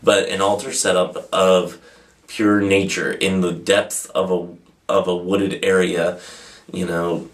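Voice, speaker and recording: A man's voice: low voice, level tone, takes it slowly. A man hosting an informal video, answering questions off the cuff in a rambling, self-deprecating way. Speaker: host of a pagan question-and-answer video channel. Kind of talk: casual, unscripted answering of viewer questions.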